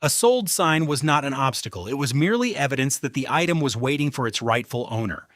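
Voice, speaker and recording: A man reading scripted narration for a video in a measured storytelling way. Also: medium pitch, lively intonation, background noise. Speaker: narrator of a celebrity compilation video.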